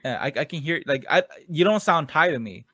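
A man's voice: a little high, lively intonation, a little fast, pushed and bright, husky, no echo. Stammering young man host, dry sound. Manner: stammering restarts, curious, lots of "like". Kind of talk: casual interview chat.

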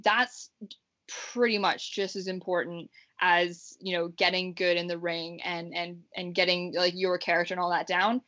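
A woman's voice: lowish voice, little pitch movement, normal speed, pushed and bright. A young woman guest talking casually in an interview, rambling and saying "like" a lot. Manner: rambling, heavy use of "like". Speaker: guest, pro wrestler. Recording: dull lo-fi remote audio.

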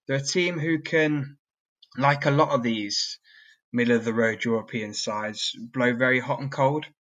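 A man's voice: mid-range voice, fairly bright tone. A man talking informally and conversationally as co-host of a sports preview podcast. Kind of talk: casual football preview chat.

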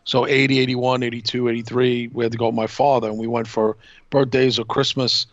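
A man, co-hosting a podcast and telling stories casually in short, measured phrases. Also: medium pitch, level tone, dry recording. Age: 50s. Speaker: podcast co-host. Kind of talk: casual reminiscing panel chat. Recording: dull lo-fi audio.

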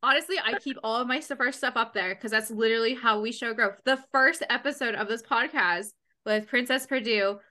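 A woman's voice: on the high side, brisk, few pauses, bright, forceful tone. A young woman hosting a casual podcast roundtable, bubbly and gushing, with lots of "like" fillers. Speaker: podcast host.

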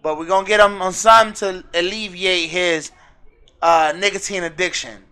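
An American man hosting a podcast in casual, slangy, excitable banter with his co-host, swearing freely. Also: sits high, moderate pace, smooth.

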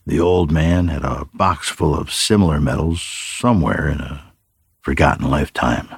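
A male narrator with an extremely deep, husky voice and a gravelly creak. He reads dramatic fiction in a measured, brooding way. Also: dry recording, studio quality. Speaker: story narrator.

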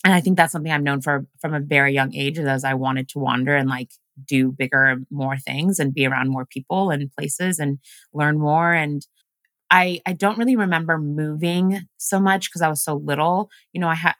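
Low bright-voiced woman guest, chatty. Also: steady tone, normal speed, no echo. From grew up in Washington State. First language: Luganda. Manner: chatty storytelling, many fillers. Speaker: podcast guest, coach. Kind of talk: casual conversation.

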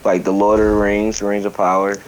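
Low-voiced male caller on a video call; stutters, profane, joking; faint hiss behind. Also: monotone, brisk, poor audio. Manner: stuttering, swears freely, joking. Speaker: call-in guest. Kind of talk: casual chat over a video call.

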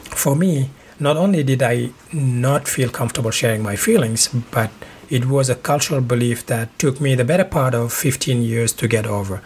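A middle-aged man from Senegal hosting a podcast, giving earnest relationship advice in a measured way with small restarts. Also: medium pitch, darkish tone, slight background noise. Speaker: podcast host.

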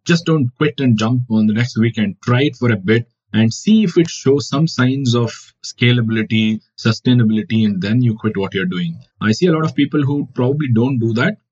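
A man's voice: mid-range voice, darkish tone, slightly rough. A man from India whose first language is Kannada, giving reflective interview answers as a wildlife photographer with many fillers. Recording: dull lo-fi audio.

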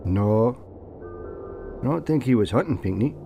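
A man's voice: mid-range voice, animated, unhurried, vocal fry, music in the background. An actor playing a somber, plain-spoken, folksy man in an audio drama. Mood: grave, reflective. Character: somber, folksy man soberly explaining a tragedy.

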